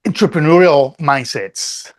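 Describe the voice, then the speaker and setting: A man's voice: on the high side, unhurried. A middle-aged man from Colombia, a company CEO answering interview questions earnestly, often restarting and repeating words.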